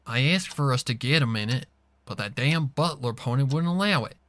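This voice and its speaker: A man's voice: mid-range voice, lively intonation, fairly quick, fairly bright tone, natural voice. A folksy, plain-spoken farm mare from a story reading, voiced by a man. The manner is direct and a little grumbling. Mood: annoyed.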